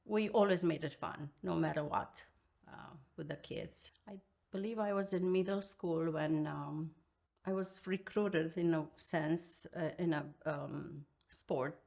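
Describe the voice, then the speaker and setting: A Romanian-accented woman in her fifties recalls her past slowly and reflectively. Her voice is low and gravelly, and she pauses often to search for words. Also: dark tone. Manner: halting, reflective, many pauses and fillers. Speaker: guest. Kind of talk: interview storytelling.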